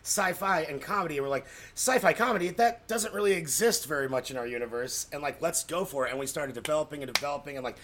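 A man with an American accent and a fairly high voice tells stories in a casual, effusive way. He rambles, repeats words for emphasis and acts out what people said, with some noise behind him. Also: fast talker, hardly any pauses. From Colorado, United States. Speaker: podcast guest, comedy writer. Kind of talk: casual, animated storytelling.

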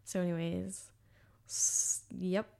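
Animated woman podcast host. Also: fairly low pitch, takes it slowly, creaky. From United States. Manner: animated, laughs often, emphatic. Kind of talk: casual conversation.

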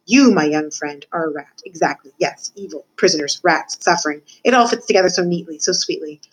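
A woman's voice: fairly low pitch, brisk, husky, low-quality recording. A woman voicing a cynical, cruel older male rat who lectures theatrically in a storybook. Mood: contented, savoring. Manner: theatrical, lecturing, emphatic with repetitions.

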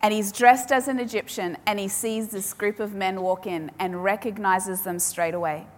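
A woman's voice: medium pitch, fairly even intonation. Woman preaching in an echoing hall. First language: Polish. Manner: emphatic, repeats key phrases with dramatic pauses. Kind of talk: sermon. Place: large hall with audience. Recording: long hall reverb.

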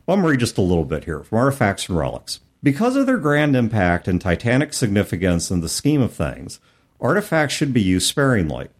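Lively, animated man on a podcast. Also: lowish voice, a little fast. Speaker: podcast co-host. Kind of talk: casual discussion, reading rulebook passages aloud.

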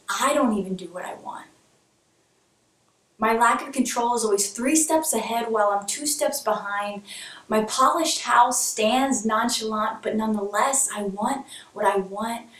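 Echoey, distant woman performing spoken word. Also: fairly high pitch. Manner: rhythmic rhyming delivery, drops to a hush. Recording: echoey room from far off.

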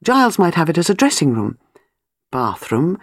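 A woman's voice: on the low side, sing-song, moderate pace, no room sound, studio quality. A woman voicing an eager, impulsive young wife, musing in an audiobook. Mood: delighted musing.

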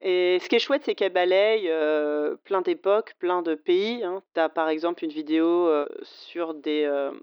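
A woman's voice: on the low side, measured pace, hardly any pauses, clear, resonant tone, no room sound, muffled recording. A woman in casual conversation who talks chattily with frequent hesitations and laughs easily. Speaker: podcast co-host.

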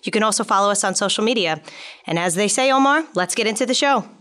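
A woman's voice: mid-range voice, lively intonation, fast talker, sharp tone. A woman co-hosting a podcast and interviewing guests in a conversational style. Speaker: podcast co-host and communications director.

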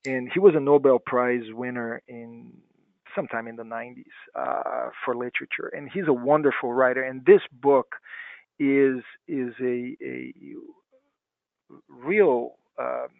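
A man's voice: medium pitch, measured pace, vocal fry, no echo. Man, from Brazil, native Portuguese speaker, medical guest, restarts phrases, tinny phone sound. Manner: explanatory, stops to restart phrases. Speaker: guest physician. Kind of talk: clinical podcast interview.

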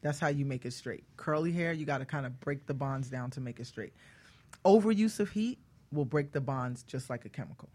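This is a woman giving expert advice in a relaxed radio conversation. She is plain-spoken and emphatic, often restating a point to make it land. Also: very deep voice, fairly quick. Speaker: guest hair care expert.

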